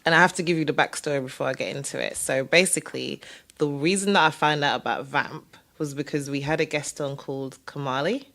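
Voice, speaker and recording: Low-voiced chatty woman host. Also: fairly quick, creaky, fairly bright tone. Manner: chatty, laughs often, storytelling. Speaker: podcast host. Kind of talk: casual interview chat.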